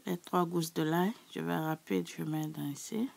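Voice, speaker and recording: A woman with a sore, hoarse throat, chatting casually to her subscribers as she walks through a recipe in her kitchen. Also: sits low, steady tone, normal speed, darkish tone. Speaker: cooking channel host. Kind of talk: casual cooking tutorial.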